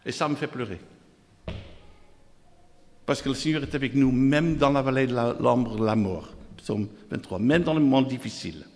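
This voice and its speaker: A man's voice: medium pitch, normal speed, vocal fry, pauses often, a little mellow. A man with a Dutch accent, preaching a sermon, reading scripture and repeating phrases in lists while calling out to the congregation. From Netherlands. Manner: reads scripture aloud in quotes; calls out to the congregation. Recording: long hall reverb.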